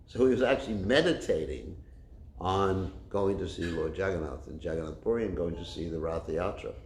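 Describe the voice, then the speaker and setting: Loud guy teaching a class, lowish voice, rambling with lots of uhs, echoey room. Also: fairly quick, a little hiss or hum. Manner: rambling, many fillers and restarts. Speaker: spiritual teacher. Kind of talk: religious class talk with storytelling. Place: class room with a kitchen nearby. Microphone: no microphone, room recording.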